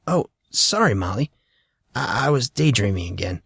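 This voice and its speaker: A man's voice: mid-range voice, big pitch swings, normal speed, vocal fry, a bit bright, husky, dry recording, studio quality, heavily acted. A man voicing a grumbling, defensive fourteen-year-old schoolboy in an audiobook, with a sulky, hesitant manner. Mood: apologetic.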